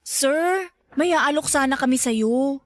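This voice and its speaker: A woman's voice: high voice, slow, no room sound, studio quality. A woman playing a spirited, cheeky but sensible young friend in a Filipino radio drama, assertive, with a Filipino accent.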